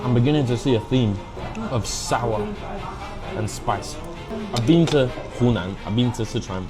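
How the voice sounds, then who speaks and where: A man's voice: medium pitch, moderate pace, over music. A young British man talking casually and informally as a food vlogger, now and then dropping Mandarin phrases into his English. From England. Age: young adult. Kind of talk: casual food review vlog.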